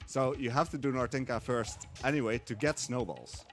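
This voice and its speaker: A man's voice: medium pitch, normal speed, soft background music. A young man giving live commentary while he speedruns a game at a marathon event, talking hesitantly with many fillers.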